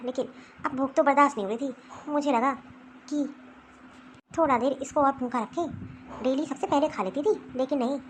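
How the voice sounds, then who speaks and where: A woman's voice: high-pitched, a little hiss or hum, natural voice. A woman playing a bossy scheming mother-in-law. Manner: scolding, commanding, grumbling to herself. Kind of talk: family skit acting.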